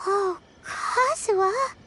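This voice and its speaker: A woman voicing a small, childlike fairy companion in a video game, eager and emotional, with a squeaky voice. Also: takes it slowly, very noisy. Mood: wistful, touched. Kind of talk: video game character acting. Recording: music behind the voice.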